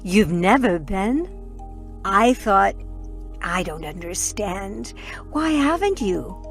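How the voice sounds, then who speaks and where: A woman's voice: medium pitch, lively intonation, slow, faint music behind. An actress playing a tender, awed woman newly arrived in heaven, hesitant and earnest, in a radio drama. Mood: puzzled.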